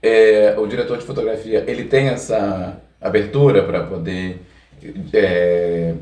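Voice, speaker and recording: A Brazilian man hosting a casual interview, feeling out his questions in halting fragments. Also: on the low side, little pitch movement, warm tone. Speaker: podcast host interviewing. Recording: echoey, distant from the microphone.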